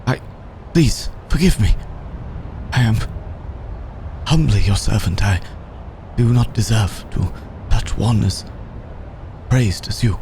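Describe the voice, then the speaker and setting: A man playing a humble, devoted servant in an ASMR audio roleplay, speaking in halting, deferential, formal phrases. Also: on the low side, lively intonation. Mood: anxious, apologetic. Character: humble, devoted male servant of an exalted undead ruler. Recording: clear background storm ambience noise.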